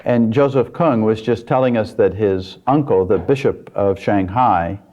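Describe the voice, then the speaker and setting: A man's voice: fairly low pitch, warm tone. Male talk show host, dull lo-fi sound. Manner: measured, clarifying questions.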